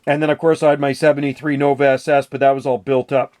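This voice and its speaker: A man's voice: mid-range voice, flat delivery, fast, heavy background noise. A man in his sixties or older having a casual CB radio chat, folksy and full of radio slang. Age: 60s or older. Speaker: radio hobbyist, channel host.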